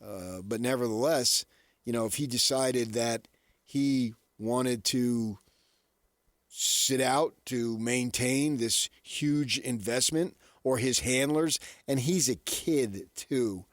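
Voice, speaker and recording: A man's voice: mid-range voice, takes it slowly, no room sound, studio quality. An American man co-hosting sports radio, challenging his partner with rhetorical questions in unscripted debate.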